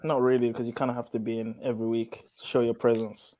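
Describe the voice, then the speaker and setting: A man chatting casually on a live panel from home, relaxed and talkative. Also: medium pitch, fast talker, very noisy. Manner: laid-back, chatty.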